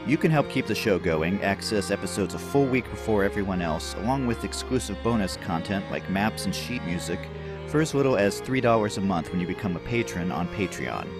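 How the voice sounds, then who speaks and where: A man's voice: lowish voice, expressive, fairly quick. A man reading a scripted announcement as an audio program's announcer. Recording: music bed behind voice.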